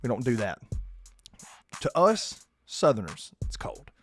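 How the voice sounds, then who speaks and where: A man, hoarse and losing his voice, hosting a casual sports podcast and keeping his volume down. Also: medium pitch, sing-song, measured pace, a bit bright, faint music behind. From South Georgia, US. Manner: restrained, holding his volume down. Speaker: podcast host.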